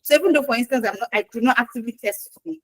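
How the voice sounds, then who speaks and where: A woman, a Yoruba speaker, answering interview questions in a lively, chatty way with acted-out quotes. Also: fairly high pitch, racing, dry recording, studio quality. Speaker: guest expert in quality assurance.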